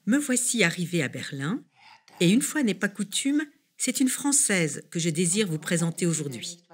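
Female documentary narrator, somewhat low register, reading a prepared script as presenter. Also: big pitch swings, normal speed, slightly rough.

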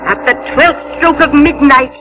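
A woman's voice: high-pitched, measured pace, over music. An actress playing a kindly, fussing old fairy godmother in a 1950s radio drama, speaking in theatrical rhyme. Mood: solemn warning.

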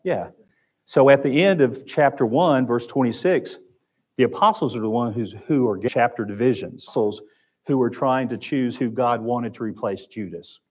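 A man's voice: mid-range voice, normal speed, darkish tone, husky. A man with an Oklahoma accent teaching a Sunday Bible class in a church room, talking conversationally and repeating phrases. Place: church classroom with projector screen.

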